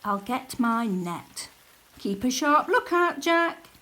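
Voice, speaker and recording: A woman reads the part of a kindly old grandfather in a storybook. He is practical and watchful, speaking in a fairly high voice at an unhurried pace. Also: sing-song, bright, forceful tone, full character voice. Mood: calm, alert.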